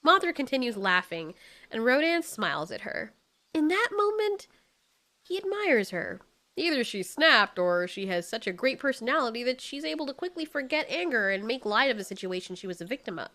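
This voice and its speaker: A woman narrating a scripted story in an expressive, storytelling style. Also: a little high, normal speed.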